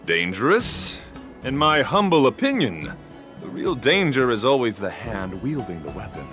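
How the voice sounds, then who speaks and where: Male actor as earnest, gallant game knight; mid-pitched, expressive melodic delivery, formal and ornate, over soft music. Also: normal speed, lightly acted. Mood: courteous, calm. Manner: courtly, flowery, formal diction. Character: chivalrous, earnest young male knight devoted to beauty. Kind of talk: theatrical video game voice acting.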